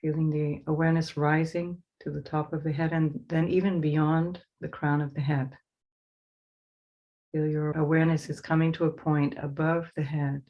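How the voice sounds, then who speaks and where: Woman guiding meditation in an even tone. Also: deep voice, dull audio. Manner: slow, soothing instruction with pauses. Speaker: meditation teacher. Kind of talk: guided meditation.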